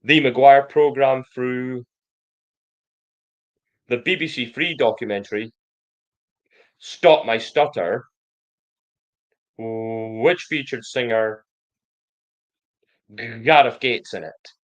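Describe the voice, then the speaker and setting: A man who stammers gives a prepared personal testimony in slow, deliberate, controlled speech, with occasional blocks on sounds. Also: mid-range voice. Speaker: programme member giving a testimonial.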